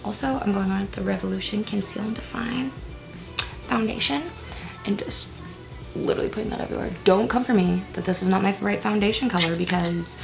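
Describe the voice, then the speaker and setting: A woman's voice: mid-range voice, brisk, vocal fry, over music. A woman in her early twenties chatting casually at home while doing her makeup, self-deprecating, with whispered asides. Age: 20s. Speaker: vlogger. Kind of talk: casual get-ready-with-me chat.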